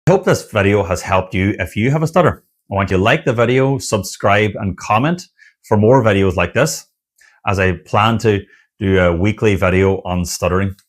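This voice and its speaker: Earnest low-voiced man encouraging viewers. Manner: earnest, encouraging, speaks in repeated list phrases with pauses. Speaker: online community founder and stuttering coach. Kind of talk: motivational talk to camera.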